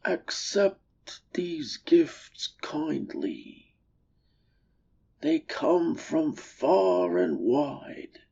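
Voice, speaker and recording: A weary old storybook camel, played by a woman, full of wounded pride and self-pity. The voice is dark and crackles with fry, and each phrase drags out very slowly. The home recording is a little muffled. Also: low voice, full character voice. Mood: humble, reverent. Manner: slow, drawn-out, dramatic delivery.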